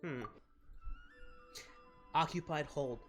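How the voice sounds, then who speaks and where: A man's voice: fairly high pitch, extremely slow, faint music behind. A man doing a casual game playthrough, reading dialogue aloud and commenting on himself as he stumbles over words. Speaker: game streamer reading along.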